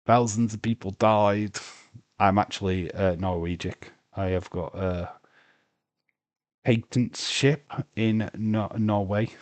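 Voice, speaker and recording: Slow, low-voiced man arguing. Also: steady tone, darkish tone, dry recording. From England. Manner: argumentative, stumbling over words and laughing. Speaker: livestream host. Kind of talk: casual livestream chat.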